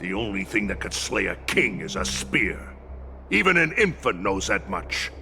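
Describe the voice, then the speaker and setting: An actor playing a stern old warrior retainer in a video game, proud and scornful, with a gravelly voice and grave, formal delivery. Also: male voice, sits low, big pitch swings, pushed and bright, soft background music, exaggerated voice. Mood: stern, reproachful. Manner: grave, formal, deliberate oratory. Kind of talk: dramatic video game acting.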